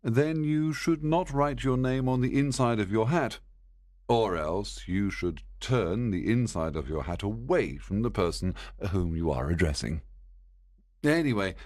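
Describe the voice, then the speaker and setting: A man's voice: lowish voice, darkish tone, no echo, studio quality, pitched above the performer's own voice. A man doing a clever, confident detective.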